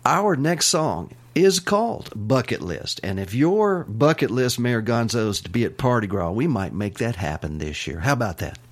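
A man's voice: mid-range voice, big pitch swings, normal speed, hardly any pauses. A man hosting a weekly radio countdown show, upbeat and announcer-like, often laughing. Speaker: radio host. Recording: music playing underneath.

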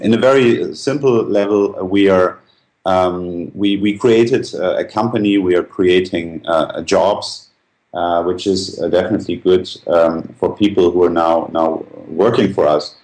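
A man's voice: deep voice, measured pace, a little mellow, low-quality recording. A man giving explanatory interview answers, earnest and feeling his way through his phrasing. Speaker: company founder being interviewed.

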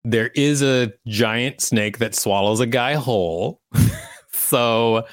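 A man's voice: medium pitch, takes it slowly, dry recording. A man co-hosting a podcast, reading an article aloud and adding casual, opinionated banter. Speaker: podcast co-host.

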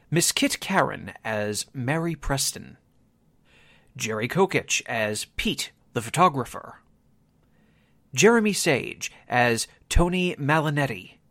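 Male announcer, medium pitch, lively intonation, formal scripted radio-theatre delivery, very dry close recording. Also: no echo.

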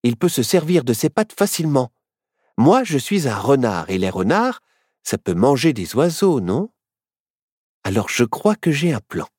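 A man's voice: medium pitch, very animated, a little slow, darkish tone, dry recording, studio quality, pitched above the performer's own voice. A man voicing a boy turned fox, an earnest, plaintive young leader in a children's story. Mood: thoughtful.

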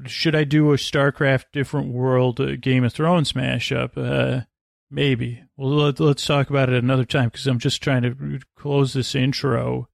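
A man hosting a sleep podcast in a rambling monologue, muttering and halting as he wanders off into tangents. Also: medium pitch, gravelly, dry recording, studio quality. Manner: meandering, halting, frequently trailing off into tangents. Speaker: podcast host.

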